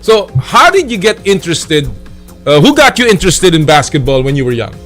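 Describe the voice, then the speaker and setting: High-pitched chatty male host. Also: very animated, fairly quick, fairly bright tone, faint music behind. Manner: friendly, chatty, laughs often, repeats phrases. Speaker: show host. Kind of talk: live sports talk show interview.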